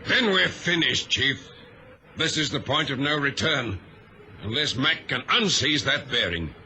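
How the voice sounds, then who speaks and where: An actor playing a grave, formal ship's captain in an old-time radio drama. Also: male voice, medium pitch, expressive, a little slow, sharp tone, heavily acted. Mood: grim, resigned. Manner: solemn, formal, commanding. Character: grave male ship's captain facing disaster. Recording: noisy vintage radio drama recording.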